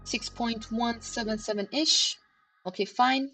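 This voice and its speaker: A woman with a slightly high voice talks a live audience through price charts in a loose, chatty way. She leans on fillers and checks in with her listeners often. Faint music plays under her stream. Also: steady tone, unhurried, sharp tone, low-quality recording. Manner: chatty, many fillers, addresses chat directly. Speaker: crypto chart analysis livestream host. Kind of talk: casual live market commentary. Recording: livestream with faint background music. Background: faint background music.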